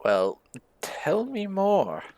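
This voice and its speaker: A man's voice: sits high, wildly swooping pitch, extremely slow, a little mellow, no room sound. A man hosting a casual roleplay game playthrough, musing and thinking aloud as he goes. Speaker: gaming video host.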